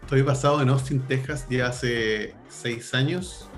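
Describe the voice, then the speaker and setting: A man's voice: medium pitch, measured pace, soft background music. A Chilean man in his thirties, a venture capital partner, speaking loosely in a casual interview with many fillers and restarts. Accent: Chilean Spanish.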